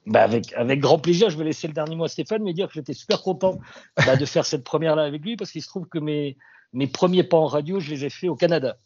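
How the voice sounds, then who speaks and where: Male radio journalist, southwestern French accent, halting and reflective. Dull, dry recording. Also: on the high side, a little mellow, slightly rough, no echo. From France. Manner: halting, thinks aloud with many restarts. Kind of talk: reflective interview talk.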